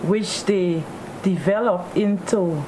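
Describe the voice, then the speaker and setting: A woman's voice: lowish voice, slow, noisy background. A woman in a discussion, speaking in an earnest, emphatic way.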